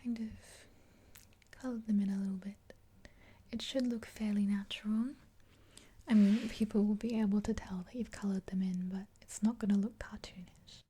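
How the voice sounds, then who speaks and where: A woman in her twenties doing a gentle ASMR roleplay in a soft, unhurried, breathy whisper, close to the mic. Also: mid-range voice, steady tone. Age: 20s. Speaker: ASMR video creator. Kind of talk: ASMR roleplay, whispered makeover with rambling chat. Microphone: close to the mic, facing camera.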